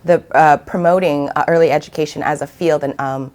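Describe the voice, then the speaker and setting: Soft-spoken young woman, lowish voice, children's author in an interview. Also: fairly even intonation, a little fast. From Vietnam, raised in South Dakota. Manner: soft-spoken, many ums and uhs. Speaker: children's book author, guest. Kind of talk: interview answers, then reading a picture book aloud.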